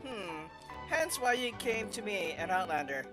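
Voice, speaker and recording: A woman's voice: mid-range voice, big pitch swings, a little slow. A woman game streamer giving casual, informal livestream commentary with hesitant phrasing. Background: loud game music.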